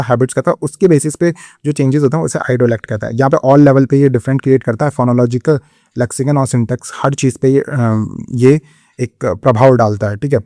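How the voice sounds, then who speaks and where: A man with an Indian accent lectures like a coaching-class teacher, explaining step by step and pausing to check understanding. His voice is dark and mellow, recorded close and dry with no room sound. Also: mid-range voice, dry recording. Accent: Indian English. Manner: explanatory, repeats "theek hai" to check understanding. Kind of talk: exam-prep lecture.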